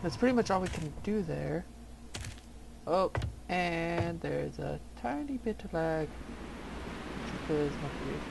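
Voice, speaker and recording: A man's voice: a little high, lively intonation, slow pace, creaky, long pauses, background noise. A man giving casual gameplay commentary as he plays, thinking aloud in a musing way. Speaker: video game streamer.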